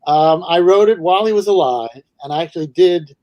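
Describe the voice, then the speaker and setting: A man from Manhattan with a New York accent, a guest author talking over a video call in a thoughtful, halting way. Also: sits high, mellow, no echo, lo-fi sound. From New York City. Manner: thoughtful, hesitant, many restarts. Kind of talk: casual video call interview.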